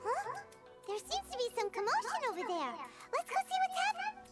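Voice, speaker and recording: A woman voicing a small, excitable, childlike fairy companion in a video game, with a squeaky voice. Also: fairly quick. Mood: startled, curious. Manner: refers to self in third person. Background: game music.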